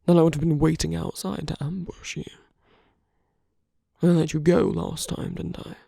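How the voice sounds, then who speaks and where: A man playing a suave, flirtatious villain in an ASMR roleplay, speaking softly and intimately in a breathy half-whisper with a teasing, coaxing manner. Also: on the high side, fairly even intonation, moderate pace, no room sound, clean studio sound. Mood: teasing, sincere. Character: suave flirtatious male villain wooing a hero at a masquerade.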